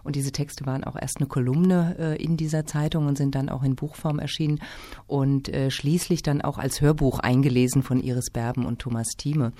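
A woman from Hesse, a literature festival organizer, answering interview questions in a lively, engaged way with many fillers. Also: a bass voice, moderate pace. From Hesse, Germany.

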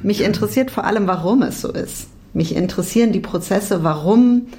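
A woman from Berlin in her forties answering interview questions thoughtfully, often pausing and restarting her sentences. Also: medium pitch, dark tone. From Berlin, Germany. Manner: thoughtful, many restarts and hesitations. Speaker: psychology professor.

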